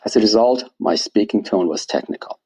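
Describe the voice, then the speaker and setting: A man, reading his own personal essay aloud in a measured, wry way. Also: fairly low pitch, expressive, warm tone, breathy edge. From Iran. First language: Persian. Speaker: guest storyteller.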